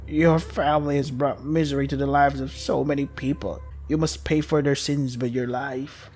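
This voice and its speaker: A man voicing a slow, solemn, threatening inhuman monster in a horror story. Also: mid-range voice, little pitch movement, a little mellow, close to an everyday voice. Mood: menacing.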